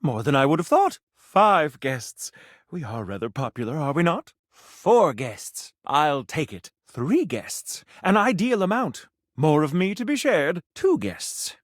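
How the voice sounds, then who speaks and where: A man's voice: on the high side, big pitch swings, measured pace, no echo, studio quality. An actor playing a snobbish, theatrical food critic in a video game, a man with pompous, precise, refined diction. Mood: gracious, excited. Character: flamboyant, snobbish, easily offended male food critic, grandly romantic. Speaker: restaurant critic.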